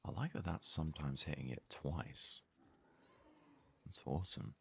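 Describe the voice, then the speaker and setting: A man doing hushed ASMR gaming commentary with a gravelly, husky voice, casually musing aloud close to the microphone. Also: very deep voice, measured pace, a little hiss or hum. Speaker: let's play host.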